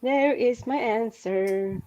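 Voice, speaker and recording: A woman with a Swedish accent, a native Swedish speaker, chatting casually on a livestream, halting, with many fillers and restarts. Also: on the high side, muffled recording, a loud crowd. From Sweden. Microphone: headset.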